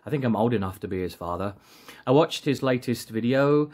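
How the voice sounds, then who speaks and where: A man's voice: mid-range voice, expressive, fairly quick, darkish tone. A man in his late forties hosting a casual vlog, joking and rambling as he often restarts his sentences. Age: 40s. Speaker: action figure seller and channel host.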